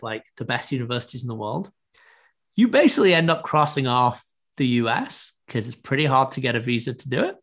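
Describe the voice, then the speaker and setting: Interview guest, male, thoughtful fluent explainer, middle pitch, peppered with "like" and "you know". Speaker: guest, startup investor. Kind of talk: casual interview.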